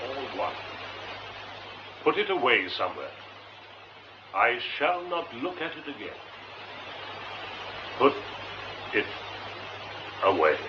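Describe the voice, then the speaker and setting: An actor playing a pompous, touchy stage star in a British radio drama, grandiloquent and emphatic. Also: male voice, mid-range voice, sing-song, slow, long pauses. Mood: dismissive. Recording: muffled radio drama recording, clear background hiss.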